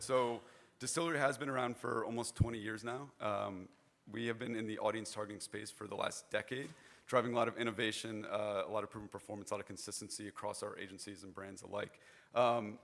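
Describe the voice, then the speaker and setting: Hoarse young guy presenting at a conference, quick and gravelly, kinda low voice, keeps restarting bits. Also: a bit bright. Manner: chatty, informal, restarts phrases often. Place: conference hall stage.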